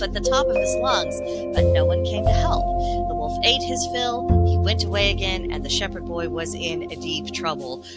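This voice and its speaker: A woman hosting a folklore series, speaking in an earnest, deliberate way as she delivers a scripted monologue with formal wording. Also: medium pitch. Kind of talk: scripted monologue retelling and commenting on fables.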